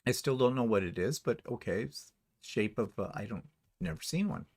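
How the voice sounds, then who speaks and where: A man's voice: medium pitch, animated, normal speed, vocal fry, a little mellow, slightly rough. A man co-hosting a casual livestream, reading viewer comments aloud and answering with chuckling asides. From Canada. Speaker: livestream co-host.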